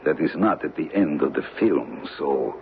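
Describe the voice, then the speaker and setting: An actor playing a menacing, cold-blooded villain in an old-time radio drama, speaking formally and deliberately with condescension. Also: male voice, rumbling low, expressive. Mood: cold suspicion. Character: menacing male villain, a silky cold-blooded killer with umbrella. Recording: tinny, noisy old radio broadcast.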